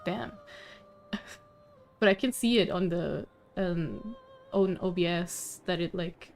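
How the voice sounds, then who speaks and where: A woman game streamer chatting casually on a live stream, halting and rambling with half-finished sentences. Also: fairly low pitch, darkish tone.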